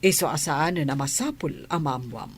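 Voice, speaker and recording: A woman's voice: low voice, normal speed, husky. A woman acting a kindly, troubled lady of the house, weary and sighing in a radio drama.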